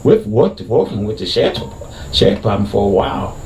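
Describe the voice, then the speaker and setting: A middle-aged man, a former deputy, with a very deep, heavy voice, giving casual video commentary in halting speech full of fillers and restarts. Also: big pitch swings, roomy sound, recorded from a distance, background noise. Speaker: former deputy, channel host.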